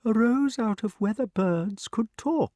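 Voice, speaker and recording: A man's voice: high-pitched, animated, a little slow, a little mellow, no echo, pitched above the performer's own voice. A man voicing a sarcastic, cold old male owl in an audiobook reading, with clipped, dry speech. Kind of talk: audiobook character voice.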